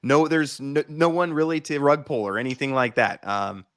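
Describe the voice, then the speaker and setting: An upbeat man hosts a livestream and reads viewer questions to his guest. He sounds encouraging and speaks at a mid pitch. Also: animated, normal speed, fairly bright tone. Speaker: livestream host. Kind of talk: livestream interview.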